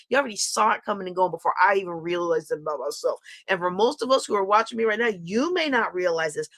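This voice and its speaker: A woman's voice: mid-range voice, fairly bright tone. A middle-aged woman coach giving a candid live-streamed motivational talk, repeating phrases and asking her viewers questions. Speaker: life coach.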